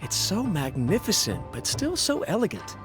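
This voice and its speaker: A man's voice: a little high, huge pitch swings, normal speed, music in the background. A man playing a polite, sympathetic young colleague in an anime-style game, considerate and conversational. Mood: admiring, polite.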